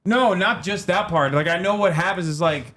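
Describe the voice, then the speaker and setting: A man from Turkey chats loosely as a stream host, his voice sitting a little high for a man. He jokes, rambles and restarts his sentences often. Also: moderate pace, fairly bright tone. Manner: joking, rambling with many restarts. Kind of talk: casual chat.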